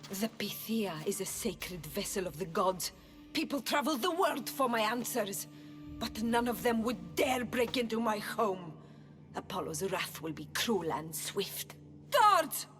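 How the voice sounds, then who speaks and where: An actress as a priestess of an ancient oracle, a woman both proud and afraid. She speaks with solemn, theatrical earnestness at a middle pitch, in a game cutscene with music clearly behind her. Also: animated, unhurried, close to an everyday voice. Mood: alarmed, imperious. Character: frightened oracle priestess, proud yet complicit, adult woman. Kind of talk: dramatic video game cutscene acting.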